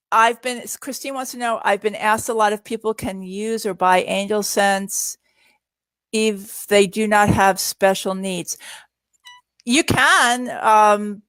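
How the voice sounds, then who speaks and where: A woman's voice: medium pitch, fairly bright tone, smooth, no room sound. A middle-aged woman chatting casually in a live Q&A, telling anecdotes and repeating her words as she goes. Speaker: guest parent and experienced product user answering viewer questions.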